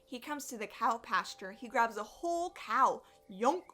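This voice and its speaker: A woman reading a children's story aloud in an expressive, deliberate storyteller's way. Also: fairly high pitch. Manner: animated, deliberate storytelling with acted sound effects. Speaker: storyteller, elementary music teacher.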